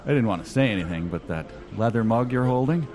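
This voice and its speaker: A man's voice: mid-range voice, lively intonation, fast, darkish tone, lightly acted. A man voicing a fussy, rule-bound tavern attendant in a comedy sketch, officious, pedantic and mock-polite. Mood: pedantic, firm.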